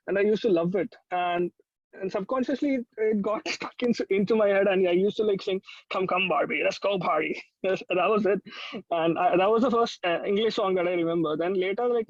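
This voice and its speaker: High-pitched young Indian man, dry dull audio. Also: no echo. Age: young adult. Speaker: musician guest. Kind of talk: casual chat, reminiscing.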